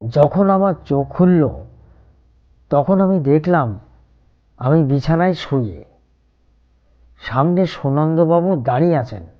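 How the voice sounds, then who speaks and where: Over music in a slightly dull recording, a male actor as a shaken city man recounts a ghostly encounter. His delivery is slow, deliberate and suspenseful, made for a cartoon horror story. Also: a little high, expressive. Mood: fearful suspense. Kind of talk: first-person horror story narration, dramatic scripted. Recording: dull lo-fi audio, music behind.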